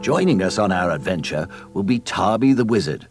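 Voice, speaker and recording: Low-voiced man narrating a read-along storybook. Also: animated, fairly quick, over loud music.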